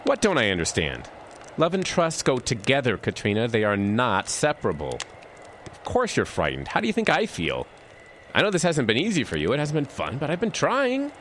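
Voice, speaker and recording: An actor playing a stubborn, reassuring boyfriend in an audio drama, with an emphatic, expressive delivery. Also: male voice, mid-range voice, moderate pace, slight background noise. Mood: hurt, exasperated. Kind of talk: audio drama acting with inner-monologue narration.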